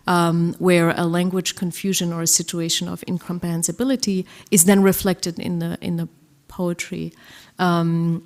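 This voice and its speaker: A middle-aged woman from Germany, a poet answering on a panel in a thoughtful, halting way with many fillers. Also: on the low side. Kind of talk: live literary conversation.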